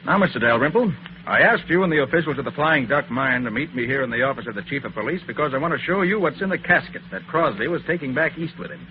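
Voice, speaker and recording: An actor playing a shrewd, commanding master detective in an assured 1940s radio drama style. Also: male voice, mid-range voice, fast talker, close to an everyday voice. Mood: assured, explanatory. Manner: assured, explanatory, authoritative. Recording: muffled, noisy 1940s radio recording.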